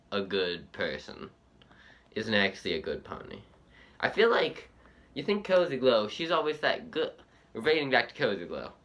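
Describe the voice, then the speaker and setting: A man giving casual, unscripted fan commentary as a reaction video host, halting and repeating himself as he goes. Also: medium pitch, sharp tone.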